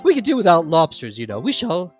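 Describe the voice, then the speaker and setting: A reader playing a theatrical Mock Turtle from a storybook, mournful yet excitable, with emphatic, drawn-out phrasing. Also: medium pitch, faint music behind, voice pushed up. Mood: pompous, emphatic. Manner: dramatic, drawn-out, emphatic delivery.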